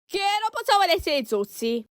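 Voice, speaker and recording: A woman's voice: very high-pitched, sing-song, normal speed, no room sound, studio quality. A woman doing an amateur cartoon fan dub, acting out several characters in an exaggerated, exclamatory way.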